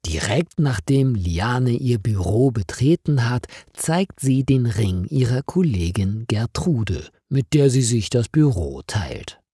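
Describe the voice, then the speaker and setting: A man reads a story for language learners at an unhurried pace. His voice is mellow and a little husky, and he shapes each sentence deliberately and expressively. The recording is close and dry. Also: medium pitch, very animated, no echo. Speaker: narrator of stories for language learners. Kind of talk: slow, clearly paced scripted story narration.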